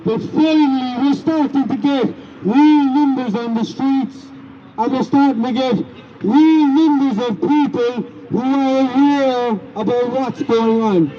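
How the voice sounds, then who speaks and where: Irish guy with a huge loud voice, high pitched, talks real slow, bit muffled. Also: a little hiss or hum. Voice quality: big loud voice. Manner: emphatic, repetitive, indignant. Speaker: rally speaker. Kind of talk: outdoor protest speech. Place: street rally outdoors.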